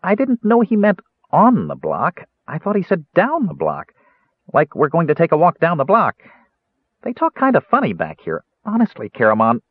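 Brief: a chirpy, impulsive little kender, high and animated, on a tinny dry line. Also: male voice, breathy edge, dry recording, heavily acted. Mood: cheerful. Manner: high, bubbly, rapid and eager. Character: cheerful chatty kender, small, impulsive. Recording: dry tinny phone-like sound.

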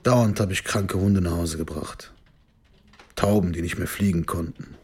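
A man's voice: sits low, measured pace, darkish tone, husky, heavily acted. An actor playing a proud, sly crime-clan man in a radio drama, provocative and self-assured. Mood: bitter, proud. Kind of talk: naturalistic German radio drama acting.

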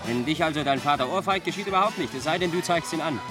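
An actor playing a condescending, pedantic young know-it-all who lectures in a German radio play. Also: male voice, fairly high pitch, fast talker, natural voice. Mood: lecturing. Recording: music behind the voice.

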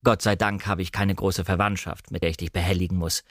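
A man voicing a brisk, decisive English gentleman, master of an estate, in matter-of-fact audiobook dialogue. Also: low voice, flat delivery, no room sound, studio quality, pitched below the performer's own voice.

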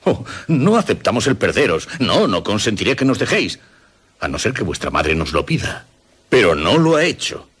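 A middle-aged, gallant father in a radio drama. His voice is low and husky, with broad, emphatic swings of intonation. He sounds formal, old-fashioned and protective. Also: big pitch swings, normal speed, a bit bright, heavily acted. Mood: concerned, insistent. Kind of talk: Spanish radio drama acting.

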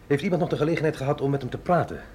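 A man's voice: fairly high pitch, rapid-fire, darkish tone, slight background noise, lightly acted. An actor in a radio drama playing a wry, understated male agent, a curious outsider who questions and hesitates. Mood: cautious, questioning.

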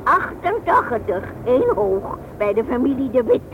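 An actress playing a feisty, chatty old unmarried aunt in a radio drama, blunt, folksy and jocular. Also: female voice, on the high side, sing-song, moderate pace. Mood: friendly, chatty. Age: older. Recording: noisy, dull old radio play recording.

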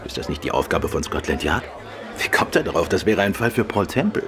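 Ein Schauspieler spielt in einem Hörspiel einen weltgewandten, ironisch neckenden Krimiautor und Amateurdetektiv. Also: male voice, low-pitched, very animated, brisk, a bit bright, soft background music, some chatter behind. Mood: teasing, ironic. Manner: suave, ironic, playful. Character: urbane, teasing gentleman novelist and amateur detective. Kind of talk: German radio play acting.

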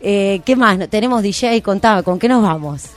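A woman's voice: mid-range voice, animated, moderate pace, faint music behind. An Argentine woman hosting a casual live radio show, talking in a playful, informal way.

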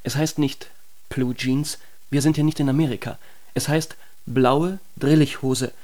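An actor playing a pedantic secret police surveillance instructor in a radio drama, correcting like a schoolmaster. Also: male voice, medium pitch, steady tone, a little slow, a little mellow, close to an everyday voice. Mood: fussy, correcting.

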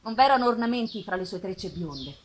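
A woman giving an expressive, dramatic literary reading with pauses and drawn-out phrases, performed live on stage. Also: mid-range voice, a little hiss or hum. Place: live theatre festival performance. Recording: live music behind the voice. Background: live musical accompaniment.

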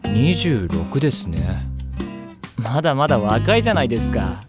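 An actor playing a sarcastic, deadpan teenage boy who needles others, in an anime drama CD. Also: mid-range voice, moderate pace, loud music behind the voice, natural voice. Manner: snide, deadpan.

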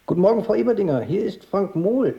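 An actor playing a nervous hypochondriac office worker who rambles and hesitates, in naturalistic radio play style. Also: male voice, high-pitched, animated, fast talker. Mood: feigned illness. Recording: slightly muffled with faint background noise.

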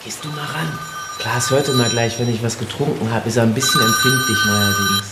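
A nosy, tipsy village sleuth. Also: female voice, very deep voice, normal speed, echoey room, very noisy. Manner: lively, pushy, blunt.

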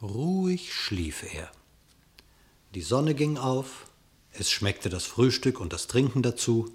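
A male narrator with a medium-pitched voice, reading a verse fable in a radio play. He delivers the tale in a measured, even storytelling manner.

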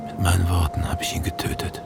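An actor in a German radio play playing an older man mourning his friend, anguished, halting and full of remorse. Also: deep voice, flat delivery, creaky, loud music behind the voice. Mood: broken remorse. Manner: anguished, halting, breaking into whispers and wailing.

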